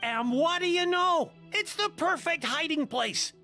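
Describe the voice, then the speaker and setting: A woman voicing an excitable, mischievous young gang member in an anime video game, with a theatrical, pleading delivery over music. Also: very high voice, a little slow, exaggerated voice. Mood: playful confiding.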